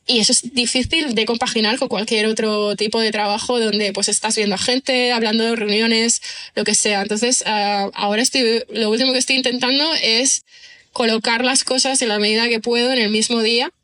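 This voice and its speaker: A woman's voice: on the high side, fairly even intonation, moderate pace, fairly bright tone. A woman answers casually as a podcast guest, chatty and self-deprecating, thinking aloud and often checking in with the listener. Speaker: podcast guest, writer and screenwriter. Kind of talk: casual conversational interview answers.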